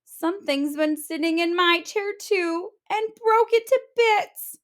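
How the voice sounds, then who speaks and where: A woman voicing a small, excitable boy bear cub who squeals and talks wide-eyed. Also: extremely high pitch, takes it slowly, voice pushed up. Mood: excited.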